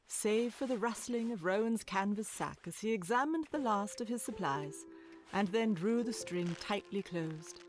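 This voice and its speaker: A woman narrator tells a storybook tale in a measured, literary way. Her voice is mid-pitched, with soft music underneath.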